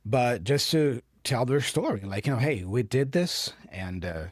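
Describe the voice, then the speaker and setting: A man's voice: mid-range voice, expressive, unhurried, no room sound, studio quality. A man with a Brazilian Portuguese accent, a native Portuguese speaker, patiently explaining English phrases from a clip for learners and checking in often. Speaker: English teacher.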